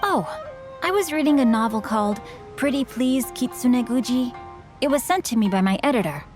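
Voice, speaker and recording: A woman's voice: on the high side, expressive, darkish tone, faint music behind, natural voice. A woman playing a courteous young swordsman-author. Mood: polite. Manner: courteous, formal and measured. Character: young male swordsman and novelist, polite and bookish. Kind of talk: video game voice acting.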